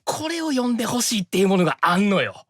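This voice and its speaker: A man's voice: sits high, very animated, quick pace, fairly bright tone, no echo, soft background music. A man chatting casually as a podcast guest, playful and effusive.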